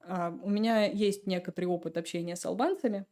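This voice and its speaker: Podcast guest: woman, mellow tone, easygoing chatty storyteller. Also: medium pitch, quick pace. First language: Russian. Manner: relaxed, chatty storytelling with laughs. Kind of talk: casual chat.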